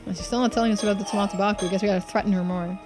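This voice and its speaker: A woman's voice: mid-range voice, steady tone, rapid-fire, darkish tone. A woman streaming a game, giving casual playthrough commentary. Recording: music behind the voice.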